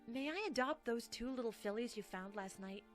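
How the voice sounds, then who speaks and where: A woman's voice: a little high, animated, normal speed, faint music behind, natural voice. A woman voicing a young unicorn mare, brusque and clipped at first, then earnest. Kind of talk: animated drama dialogue.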